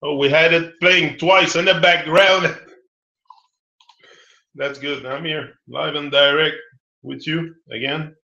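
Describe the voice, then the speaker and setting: A man's voice: a little high, fairly even intonation, slow, creaky, long pauses, bright, forceful tone, reverberant, muffled recording. A man hosting a live motivational talk, his voice a bit strained from singing, upbeat and encouraging, rambling with restarts and repeated catchphrases. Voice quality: loose, rambling delivery with frequent restarts and fillers. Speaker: motivational host.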